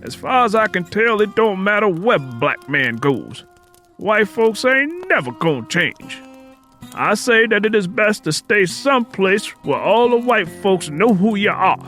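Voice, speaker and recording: Man voicing a sharp, high-pitched, stubborn Southern elder. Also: sing-song, unhurried, exaggerated voice. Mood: stubborn, dismissive. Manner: emphatic folksy rural Southern dialect, lecturing. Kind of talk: acted audiobook character dialogue. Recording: music playing under the voice.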